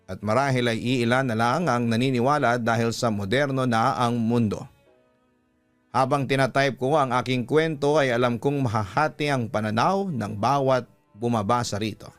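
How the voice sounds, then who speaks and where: A man's voice: medium pitch, dry recording. A man with a Filipino accent reading a listener's letter as a radio-style storytelling narration. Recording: music playing behind the voice. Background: background music.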